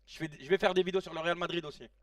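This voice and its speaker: A man in his late thirties hosting a casual live stream, reacting excitedly to a football match in slangy chat and shouting at the players. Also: high voice, racing, no echo, clean studio sound, far from the mic. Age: 30s. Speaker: live-stream watch-along host.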